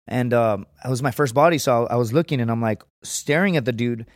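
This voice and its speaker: A man spinning profane stories as a podcast guest, his voice sitting at an ordinary middle pitch. He keeps it loose and conversational, and the close, dry studio mic leaves no room sound at all. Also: a little fast, no echo. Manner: profane, storytelling, acts out quotes in a raised voice. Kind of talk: casual chat.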